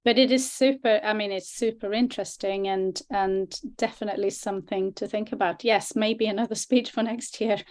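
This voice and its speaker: A woman's voice: medium pitch, steady tone, normal speed, few pauses, a little mellow. A woman co-presenting a language question-and-answer session as a teacher, speaking in a chatty, informal, demonstrative way.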